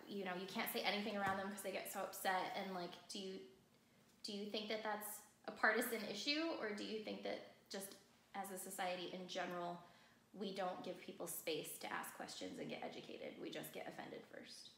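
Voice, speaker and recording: A woman from Michigan interviewing a guest, asking quick, direct questions in a casual tone. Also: mid-range voice, fairly bright tone, a little hiss or hum. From Michigan, USA. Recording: roomy echo.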